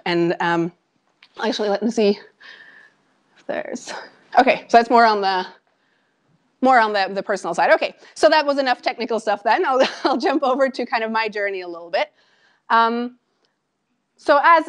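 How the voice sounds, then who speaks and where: Chatty Norwegian woman lecturing. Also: fairly high pitch. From Norway. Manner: animated, chatty, frequent ums and asides. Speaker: startup founder giving a lecture. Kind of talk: conversational public talk. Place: lecture room with an audience.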